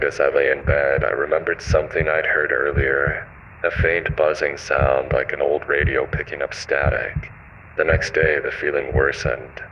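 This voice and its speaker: A man with an extremely low, bottomed-out voice narrates a scripted horror tale. His delivery is measured and ominous, told in the first person. The audio is muffled and lo-fi, with faint radio-like noise behind him. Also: fairly bright tone.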